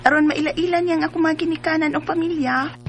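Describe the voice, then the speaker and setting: A woman playing a lovestruck, excitable young Filipina in a radio drama, expressive, with a Cebuano accent. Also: sits high, fast, soft background music. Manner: gushing, expressive.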